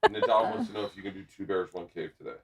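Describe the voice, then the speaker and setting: A man's voice: deep voice, huge pitch swings, fast, a crowd in the background. A middle-aged American man bantering casually off the cuff, joking and rambling. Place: indoor lounge studio, standing. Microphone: leaning over podcast boom microphone.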